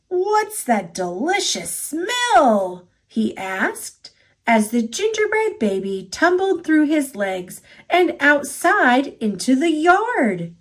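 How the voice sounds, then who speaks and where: Slow, lively, high-voiced American woman storyteller. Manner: expressive, deliberate. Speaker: storybook reader. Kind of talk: read-aloud children's storytelling.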